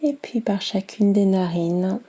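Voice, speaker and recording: A woman guiding a yoga nidra meditation in a soft, soothing, unhurried manner with long pauses. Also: mid-range voice. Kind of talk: guided meditation.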